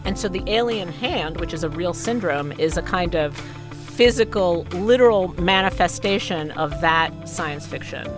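A woman's voice: fairly low pitch, expressive, moderate pace, music in the background. A woman explaining ideas conversationally as an interviewed podcast host.

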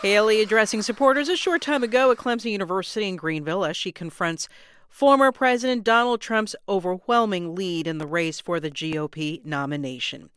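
A woman's voice: mid-range voice, brisk, a bit bright, slight background noise. A woman with an American accent reading scripted radio news as an anchor.

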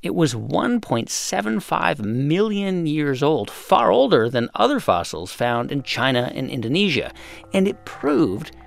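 A male podcast host narrating and explaining in a lively storytelling manner. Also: a little high, unhurried, creaky, faint music behind. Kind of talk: scripted narration and interview.

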